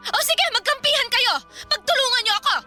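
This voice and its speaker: A woman's voice: very high-pitched, fairly quick, bright, forceful tone, soft background music, full character voice. An actress playing a petulant, quarrelsome pregnant young woman who talks back defiantly. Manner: defiant, whiny. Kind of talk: radio drama acting.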